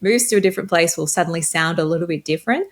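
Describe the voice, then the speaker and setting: Chatty woman with softened Australian accent. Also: lowish voice, very fast. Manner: chatty, upbeat, frequent likes and laughs. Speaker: guest, tech creator. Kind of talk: casual interview chat.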